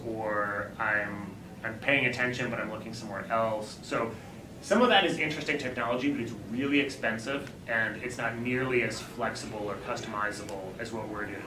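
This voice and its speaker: A man who founded a startup pitches his company and answers audience questions in a conversational, explanatory way, with quick self-corrections. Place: event room. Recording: echoey, distant, noisy room.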